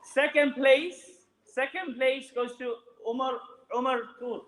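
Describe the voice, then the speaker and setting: A man announcing prizes to children at a mosque ceremony, calling out names loudly and projecting his voice over the room. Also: very high voice, fairly even intonation, measured pace, slight background noise. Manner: loud, projecting over a crowd. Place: mosque hall. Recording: echoey hall.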